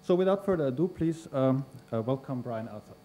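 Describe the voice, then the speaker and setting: A man's voice: mid-range voice, animated, brisk, over music. A man, introducing a speaker at a lectern in a university hall, with many fillers. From Austria. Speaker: conference host introducing a speaker. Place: large university lecture hall. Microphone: lectern microphone.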